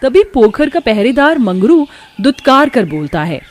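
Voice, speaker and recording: A woman's voice: fairly high pitch, very animated, a little slow. A woman narrating a scripted story in a dramatic storytelling manner.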